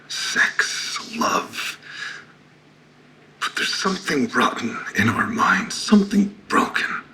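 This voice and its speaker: A man playing a haunted, brooding survivor under questioning, speaking gravely in breathy, near-whispered horror monologue. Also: lowish voice, bright, forceful tone, a little hiss or hum, full character voice, low-quality recording.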